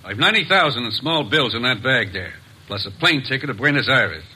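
An actor playing a calculating murderer holding two men at gunpoint in a 1950s radio drama, tense and bargaining. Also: male voice, medium pitch, very animated, brisk, sharp tone, husky, full character voice, poor audio. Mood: cold, threatening. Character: cornered male murderer, cold and calculating.

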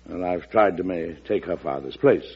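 A president in a vintage radio play, middle-aged and dignified yet romantically flustered. His husky voice is courtly and hesitant, musing as he goes. The recording is muffled, like an old broadcast. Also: sits low, moderate pace, heavily acted. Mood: tender, wistful. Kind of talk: old-time radio drama acting.

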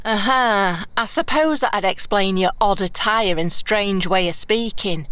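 A woman's voice: mid-range voice, unhurried, fairly bright tone, smooth, close to an everyday voice, rough recording. A woman playing a brash, blunt runaway heiress turned thief in a fantasy audio drama, sarcastic and colloquial with old-fashioned turns of phrase. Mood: curious, teasing.

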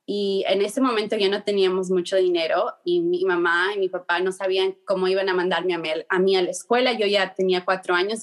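A young woman, answering interview questions in a halting way many fillers and restarts, slipping words in. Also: medium pitch. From Peru. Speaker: guest, journalist.

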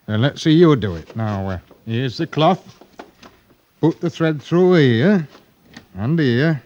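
An actor playing a kindly, fussy cloth merchant, patient and halting, in an old-time radio drama. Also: male voice, on the high side, lively intonation, darkish tone. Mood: patient instructing. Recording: muffled old radio recording.

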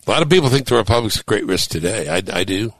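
An older man hosting a talk show, speaking thoughtfully with halting restarts that build to emphatic points. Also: fairly low pitch, expressive, a bit bright, no echo. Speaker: host and author. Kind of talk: casual radio talk show conversation.

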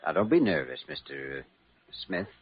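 An assured, courteous young doctor in a vintage radio drama. His voice is very deep yet animated, with measured, reassuring delivery. The old recording sounds dull. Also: slight background noise. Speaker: actor in a radio medical drama.

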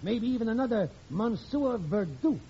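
Wisecracking busybody neighbor, very animated, high voice, slangy, vintage radio comedy, muffled faintly noisy sound. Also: male voice, normal speed. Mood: gleefully ominous. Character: nosy wisecracking neighbor husband, gleefully alarmist. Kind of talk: 1940s radio comedy acting.

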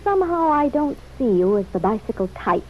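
A woman's voice: a little high, very animated, brisk, slight background noise. An actress playing a poised young woman in an old radio serial, coolly ironic and polite, with teasing retorts. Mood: playful, teasing. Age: young adult. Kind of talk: 1940s radio serial acting. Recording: dull, lo-fi old radio recording.

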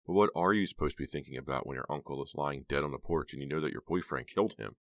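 A man's voice: a bass voice, quick pace, no room sound. A troubled young woman, voiced by a man, thinking in anguish. The delivery is questioning and bitter, in a dry, close storybook recording.

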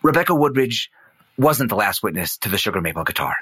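A middle-aged man playing an earnest son narrating his quest as an intense, deliberate dramatic storyteller. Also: lowish voice, sing-song, fairly bright tone, breathy edge, no room sound, heavily acted. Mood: earnest, determined.

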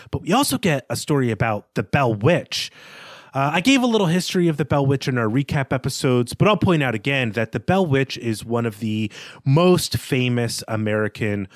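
A man's voice: mid-range voice, no echo. A man co-hosting a literary discussion podcast, talking in an analytical, explanatory way. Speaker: podcast co-host.

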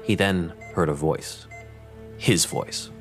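A man's voice: low voice, slow pace. A man reading scripted narration for an audio drama. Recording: loud music under the voice.

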